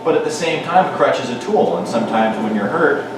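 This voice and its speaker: A man speaking on a panel, feeling his way through the answer with pauses and restarts. He sounds far from the microphone in a very echoey hall. A steady noise hangs behind him. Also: mid-range voice. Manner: thoughtful, hesitant, with many restarts. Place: event hall.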